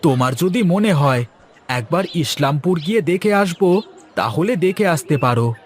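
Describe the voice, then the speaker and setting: A man's voice: a little high, expressive, normal speed, a little hiss or hum, natural voice. A man voicing a cautious, respectful younger brother in a Bengali village cartoon.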